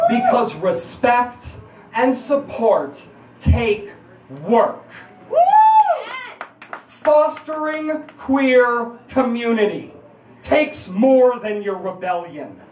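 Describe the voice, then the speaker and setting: A woman's voice: a little high, a little mellow, slight background noise. Emphatic woman performing spoken word, echoey and distant. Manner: emphatic, rhetorical, confrontational, with deliberate repetition. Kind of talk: impassioned spoken-word reading to a live audience. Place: indoors at a bar, live event. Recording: echoey bar room, distant microphone.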